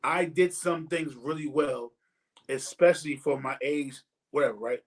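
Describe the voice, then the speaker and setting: A man's voice: fairly high pitch, steady tone, moderate pace. A man in his forties hosting a casual podcast chat, rambling and repeating words as he works toward his point. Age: 40s. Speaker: podcast host.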